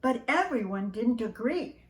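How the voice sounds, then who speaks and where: An older woman reading a picture book aloud to young children in an animated, sing-song way, speaking right to them. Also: a little high, unhurried, roomy sound. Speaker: preschool staff storyteller reading to children.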